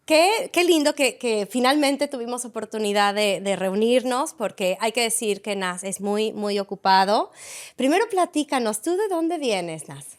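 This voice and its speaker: A woman hosting an interview in a bar, effusive and expressive, often pausing with "este". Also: fairly high pitch. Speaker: interview host. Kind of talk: warm conversational interview. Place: a Latin music bar.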